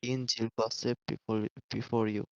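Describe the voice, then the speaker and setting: A man from Bangladesh, a native Bengali speaker, calling in to a debate and speaking in a halting, hesitant way. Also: mid-range voice, flat delivery, a little mellow, clean tone, highs cut off. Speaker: caller. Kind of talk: call-in debate.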